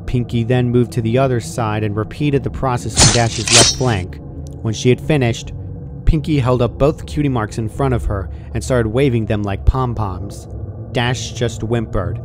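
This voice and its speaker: Man narrating a story over clear noise. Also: medium pitch. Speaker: fan fiction narrator. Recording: clearly noisy home recording.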